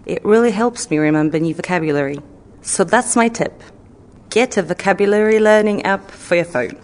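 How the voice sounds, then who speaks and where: A woman's voice: mid-range voice, animated, moderate pace, in a large hall. A woman from Poland giving a scripted tip in a matter-of-fact way. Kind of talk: scripted textbook audio.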